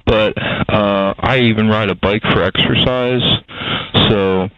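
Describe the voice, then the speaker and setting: A man's voice: on the low side, steady tone, takes it slowly, clean tone, dry recording. A man in his sixties from Delaware with a Delaware-Philadelphia accent, giving a rambling interview full of fillers and restarts. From Delaware, USA. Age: 60s. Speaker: guest. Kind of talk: casual interview talk. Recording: tinny phone line.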